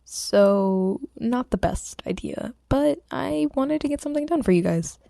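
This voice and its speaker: Female hobby audiobook reader, relaxed dark tone, sore throat, informal delivery, close dry sound. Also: on the high side, unhurried, gravelly, dry recording. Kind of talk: story narration and casual chat with listeners.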